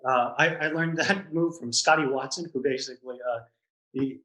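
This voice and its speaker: A man's voice: mid-range voice, fairly even intonation, moderate pace, bright, forceful tone, reverberant, poor audio. A man who teaches improv, a guest talking casually and thoughtfully over a video call, with stammering restarts. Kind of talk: casual interview chat.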